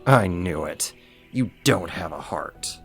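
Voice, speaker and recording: A man acts out a big, boastful male werewolf for a roleplay audio drama. His voice is very low and gravelly, with a smug, flirty, possessive swagger. Faint music plays underneath. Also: lively intonation, takes it slowly, fairly bright tone, full character voice. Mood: mocking.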